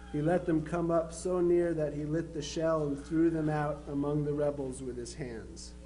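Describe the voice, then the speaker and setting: Man as young soldier son, dramatized letter reading, soft mellow tone, measured and plain-spoken, faint noise and hum. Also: a little high, lightly acted. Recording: noisy live recording with hum.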